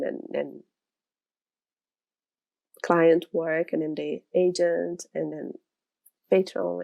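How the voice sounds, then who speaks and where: A woman's voice: lowish voice, vocal fry, a little mellow, low-quality recording. A Brazilian woman in her thirties, speaking English as a second language, chatting casually to camera from her studio, rambling with frequent restarts. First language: Portuguese. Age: 30s. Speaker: illustrator vlogger.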